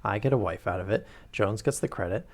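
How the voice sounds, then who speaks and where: An earnest, sincere doctor companion speaks plainly, as a man reading a novel gives him voice. The voice sits low with a gravelly edge. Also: brisk. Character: earnest male doctor companion, newly engaged.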